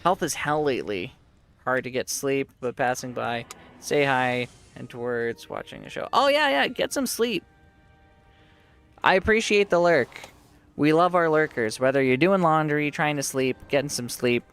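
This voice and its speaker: A man chats casually through a live game stream, answering viewers between plays. His voice is mid-pitched and getting worn as his throat gives out, and faint game music plays behind him. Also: lively intonation, moderate pace, a bit bright, clear, resonant tone. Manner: chatty, reads and answers viewers. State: losing his voice, sore throat. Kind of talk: casual live-stream chat while playing.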